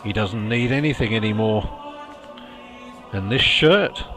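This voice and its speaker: A man's voice: lowish voice, unhurried, natural voice. A man voicing a callous, thieving old charwoman in a storybook reading, brazen and dismissive. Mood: brazen scorn. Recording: music behind the voice.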